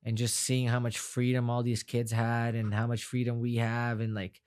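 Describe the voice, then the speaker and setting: A man's voice: medium pitch, monotone, normal speed, a little mellow, clean tone. Young man, from Afghanistan, Farsi native speaker, rambling, heartfelt, repeats words, casual interview. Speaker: guest fighter.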